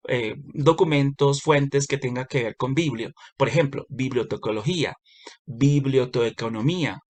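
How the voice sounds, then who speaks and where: A man giving a recorded lecture, explaining step by step with frequent pauses and self-corrections. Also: on the high side. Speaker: university lecturer.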